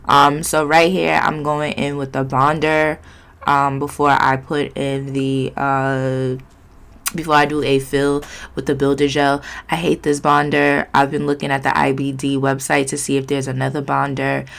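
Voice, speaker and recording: A woman with a low, gravelly voice that sounds stuffed up and nasal from a cold. She chats through her nail routine in a loose, rambling way, full of fillers. Her tone stays candid and unpolished. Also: completely flat delivery, measured pace, fairly bright tone. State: congested, sniffly, nasal. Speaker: nail vlogger. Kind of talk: casual chatty tutorial vlog.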